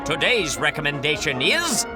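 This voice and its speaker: A woman's voice: sits low, big pitch swings, moderate pace. A woman reading scripted credits as an announcer in a retro radio style. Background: loud music.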